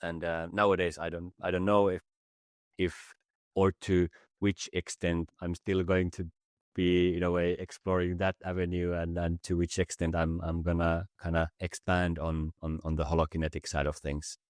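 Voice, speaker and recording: A man from Finland, a native Finnish speaker, reflecting slowly as a podcast guest. His voice is deep and flat in tone, and he stumbles over and repeats words as he searches for ideas. The sound is dry, with no room echo. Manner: halting, many word repeats, thoughtful. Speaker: podcast guest, business facilitator. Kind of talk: reflective conversation.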